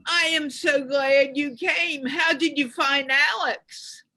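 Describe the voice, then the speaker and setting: A woman hosting a show, asking encouraging questions in a deliberate way on a video call. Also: high-pitched, steady tone, pushed and bright. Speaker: host.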